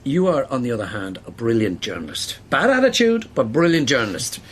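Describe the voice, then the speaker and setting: A man's voice: mid-range voice, sing-song, a little fast, a little hiss or hum, natural voice. An Irish actor playing a stern, exasperated male newspaper boss in a naturalistic radio drama, speaking bluntly.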